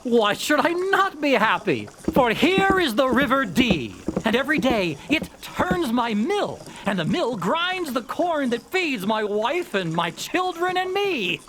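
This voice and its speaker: A man's voice: very high voice, big pitch swings, unhurried, few pauses, noisy background, pitched above the performer's own voice, full character voice. A man voicing a merry, humble, contented miller in a storybook tale, with a cheerful, singsong manner. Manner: cheerful, singsong, often bursts into song. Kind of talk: storybook character voice.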